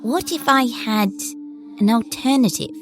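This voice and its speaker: A woman playing a stern, precocious little girl in an audio horror drama, speaking in a formal, measured way. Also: fairly high pitch. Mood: probing. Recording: music under the voice.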